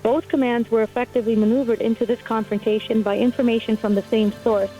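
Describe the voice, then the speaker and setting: A woman's voice: on the high side, steady tone, quick pace, mellow, natural voice. A woman playing a military communications insider calling a radio show in a war drama, speaking in precise, formal briefing style. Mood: serious, precise. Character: serious female military communications insider turned whistleblower. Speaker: radio caller. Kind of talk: scripted testimony, audio drama. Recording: dull narrow-band call with music behind.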